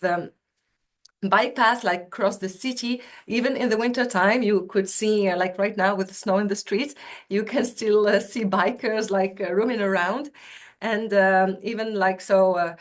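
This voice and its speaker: A woman from Tunisia, a university recruitment officer, giving a webinar presentation over a video call in a chatty way full of fillers and restarts. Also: mid-range voice.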